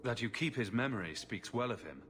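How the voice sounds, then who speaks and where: An actor playing an earnest, consoling hero in a fantasy video game, speaking in a gentle, questioning manner. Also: male voice, medium pitch, expressive, brisk, a little hiss or hum, lightly acted. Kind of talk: video game cutscene acting. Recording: music behind the voice.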